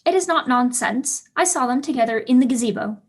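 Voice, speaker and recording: Casting: woman to play headstrong teenage girl pupil in period drama, highish voice, eloquent, eager, loves an argument. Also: lively intonation, brisk, slightly rough, heavily acted. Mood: eager, gossipy. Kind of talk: staged reading of a period play.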